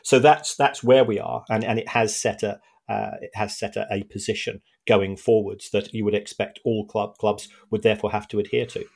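A man co-hosting a casual football podcast, speaking in halting phrases full of restarts, with measured indignation. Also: on the low side, fairly even intonation, vocal fry, a little mellow. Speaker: podcast co-host.

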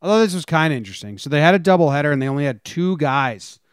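A man's voice: on the high side, lively intonation, rapid-fire, clean tone, no room sound, studio quality. A man co-hosting a sports podcast, talking casually and informally as a fan. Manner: informal, conversational. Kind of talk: casual chat, fan discussion.

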